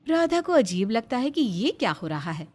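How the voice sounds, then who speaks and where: A woman's voice: fairly high pitch, big pitch swings, normal speed, over music. A woman reading scripted narration for a story as its narrator.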